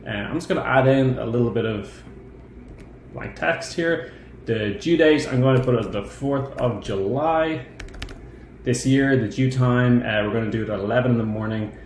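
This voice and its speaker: Man giving a software walkthrough, roomy echo. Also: mid-range voice, moderate pace. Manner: explanatory, step by step. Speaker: software product presenter. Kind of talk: screen-share tutorial walkthrough. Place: indoor room. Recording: roomy echo, faint background noise.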